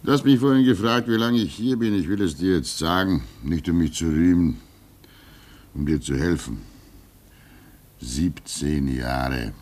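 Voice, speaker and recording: A slow old polar keeper. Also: male voice, low-pitched, lively intonation. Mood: reflective. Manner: slow, wistful, with soft laughs. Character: old male polar station keeper, weary, pious. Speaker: actor. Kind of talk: radio play acting.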